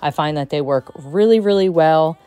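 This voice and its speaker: A woman's voice: sits low, moderate pace, warm tone, no echo. A woman in her thirties narrating a cleaning vlog in a casual, chatty, enthusiastic way, often saying "like.". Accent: Texan. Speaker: cleaning vlogger.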